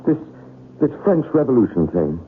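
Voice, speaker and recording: An actor playing a worried, insistent husband and professor, speaking earnestly in an old-time radio drama style. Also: male voice, on the low side, very animated, normal speed, breathy edge. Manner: earnest, urgent. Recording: tinny, noisy old radio broadcast.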